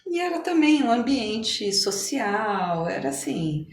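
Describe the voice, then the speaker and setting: A woman's voice: a little high, big pitch swings, slow. A middle-aged Brazilian woman chatting casually as an interview guest, reminiscing in a conversational way. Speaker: podcast guest, physician. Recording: roomy echo, clear background noise.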